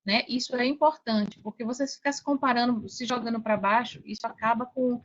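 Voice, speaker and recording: A woman's voice: on the high side, steady tone, a little fast, lo-fi sound. A woman with a Brazilian accent telling personal stories casually as a podcast guest, repeating key phrases for emphasis.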